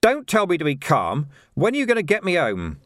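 A man's voice: a little high, huge pitch swings, fairly quick, fairly bright tone, dry recording, studio quality, voice pushed up. A man voicing an irritable, weary watch commander in an audiobook, blunt and exasperated. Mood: angry. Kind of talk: audiobook character voice.

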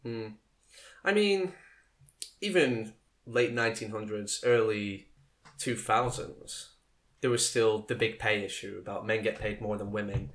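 A man co-hosting a casual podcast chat, joking around with sudden jumps in pitch and trailing off into quiet asides. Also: a little slow. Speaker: podcast co-host.

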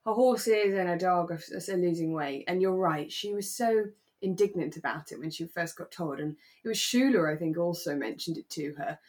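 A woman's voice: lowish voice, normal speed, hardly any pauses, darkish tone. A woman co-hosting a podcast, chatting casually in an effusive, giggly manner about a radio drama. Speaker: podcast co-host.

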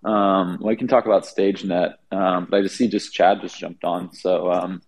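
Flat-voiced deep man chatting casually. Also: normal speed. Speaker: guest developer. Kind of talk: casual chat.

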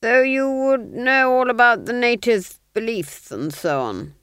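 A woman's voice: on the high side, animated, unhurried, fairly bright tone, smooth, no room sound, clean studio sound, voice pushed up. A woman voicing a scheming middle-aged male duke who commands with falsely friendly, silky menace. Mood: silkily coaxing.

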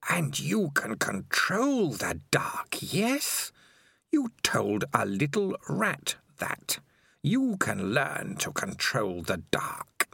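A ruthless rat spirit of the dark, male and menacing, as a man puts him on for an audiobook. The voice is shrill and hissing and swings wildly in pitch, dragging its words out slowly. It sounds dry and close to the mic. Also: wildly swooping pitch, creaky, breathy edge, dry recording, full character voice. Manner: shrill, hissing, raging then whispering. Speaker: audiobook reader. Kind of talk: audiobook character voice.

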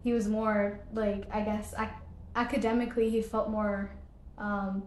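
A woman's voice: mid-range voice, flat delivery, a little slow, a little mellow, roomy sound, slight background noise. A young woman from Ethiopia, a podcast guest, answering casually with many fillers and short laughs. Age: young adult.

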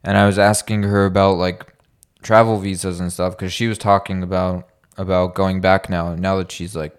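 Low-voiced, laid-back man. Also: normal speed, clean tone. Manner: relaxed, rambling, heavy use of "like". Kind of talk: casual chat.

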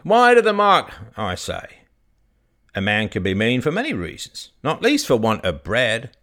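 A man voicing a blunt old rustic farmer who speaks in a folksy, deliberate way. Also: lowish voice, fairly bright tone, pitched above the performer's own voice, full character voice.

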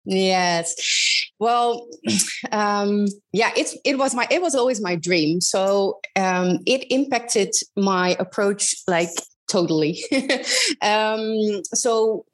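A woman in her thirties with a Dutch accent, talking as a guest in an interview. Her voice sits at a middle pitch, and she pauses often and restarts her sentences as she searches for words. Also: lively intonation. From Netherlands. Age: 30s. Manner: hesitant, many ums and restarts. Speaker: guest, business advisor. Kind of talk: casual interview conversation.

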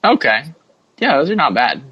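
A man's voice: medium pitch, wildly swooping pitch, normal speed, husky, dry recording, dull audio. A man hosting a casual sports podcast with jokey, slangy banter. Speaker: podcast host.

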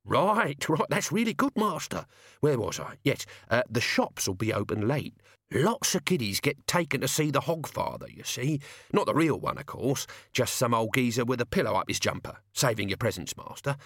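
A man's voice: mid-range voice, very animated, moderate pace, fairly bright tone, husky, no room sound, studio quality, exaggerated voice. A man voicing a wry old manservant in a storybook reading, deferential but colloquial and coaxing. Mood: persuasive.

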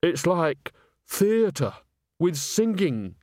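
Man as a folksy, sly old witch woman, high lilt. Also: sing-song, drawn-out words, darkish tone, no echo, studio quality, full character voice. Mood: cheerful. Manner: folksy, chatty. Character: cheerful, sly old village witch, a mother.

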